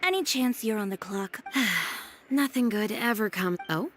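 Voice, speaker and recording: A woman's voice: mid-range voice, expressive, unhurried, a bit bright, faint music behind. Cool, self-assured young woman. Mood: dry, composed. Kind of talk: video game dialogue.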